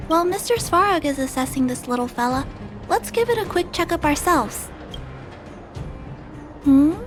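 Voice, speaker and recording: A woman playing a gentle, compassionate little girl in a video game, hesitant and soft-hearted as she speaks. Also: very high-pitched, full character voice. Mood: thoughtful, sad. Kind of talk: video game character acting. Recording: music under the voice.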